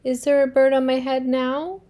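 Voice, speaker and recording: A woman's voice: sits high, level tone, normal speed, mellow, natural voice. A woman voicing an anxious, fretful male elephant in a children's storybook read-aloud, asking worried questions. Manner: worried, questioning, rising alarm.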